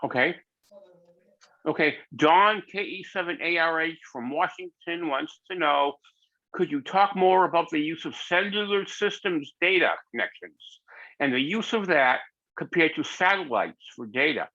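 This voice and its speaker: Bright, higher-pitched male moderator, lo-fi sound. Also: lively intonation, moderate pace. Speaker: moderator relaying audience questions. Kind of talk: reading out questions in an online session. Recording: dull, lo-fi microphone sound.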